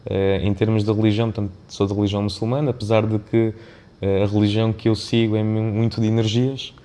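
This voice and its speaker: A man's voice: lowish voice, flat delivery, quick pace, mellow. A man with a European Portuguese accent speaking reflectively and discursively as an interview guest, often checking in with a tag question. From born in Mozambique, of Indian family background. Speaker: podcast guest, business founder and CEO.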